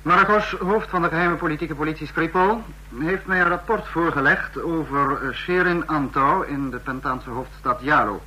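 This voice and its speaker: An actor in an old radio play, playing a brisk, commanding dictator with clipped, formal authority. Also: male voice, fairly high pitch, bright, forceful tone. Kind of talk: mid-century radio play acting. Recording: noisy, humming old radio recording.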